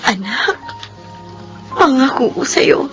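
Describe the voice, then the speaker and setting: A woman's voice: high voice, animated, slow, fairly bright tone, faint music behind, full character voice. A Filipino woman playing an anguished, devoted young single mother in a radio drama, speaking with tearful, pleading emotion. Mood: tearful relief.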